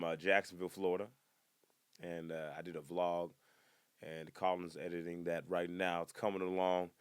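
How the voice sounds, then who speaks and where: Animated young man, low dry voice. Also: no echo. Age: 20s. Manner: animated, jumps to loud high-pitched bursts when acting out people. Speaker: comedian hosting his own podcast. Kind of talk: casual comedic monologue.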